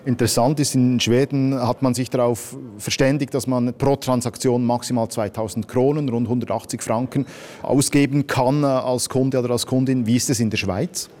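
Mid-pitched male news interviewer. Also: steady tone, normal speed, darkish tone. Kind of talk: prepared interview questions.

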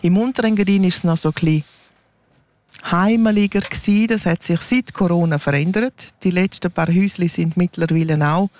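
A woman's voice: lowish voice, fairly quick, a little mellow, no echo. Woman explaining her valley. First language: Romansh. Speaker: guest, regional radio editor. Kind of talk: casual interview.

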